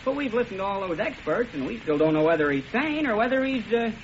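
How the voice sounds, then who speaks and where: A man's voice: high-pitched, very animated, quick pace. An actor playing a flustered, earnest, plain-spoken jury foreman who stammers hesitantly and apologetically in 1940s radio comedy-drama style. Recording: noisy, muffled vintage radio broadcast.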